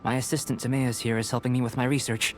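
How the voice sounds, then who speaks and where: A man voicing a calm, reserved young alchemist scholar in an anime game, speaking in a measured, precise way. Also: mid-range voice, a little hiss or hum, natural voice. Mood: composed, instructive. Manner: measured, precise, composed, clinical.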